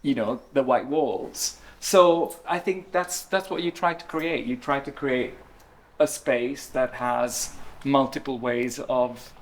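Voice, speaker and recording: A man speaking from a symposium stage at an ordinary middle pitch. He talks in a reflective, stop-start way, doubling back on words as he works through an idea. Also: slow pace. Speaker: panel speaker. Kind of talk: symposium panel discussion. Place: event hall with audience.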